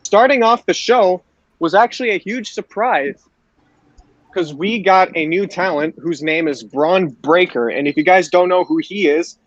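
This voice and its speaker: A man's voice: high-pitched, unhurried, dry recording, muffled recording. A man hosting a podcast, recapping wrestling shows for his co-hosts. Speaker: podcast host.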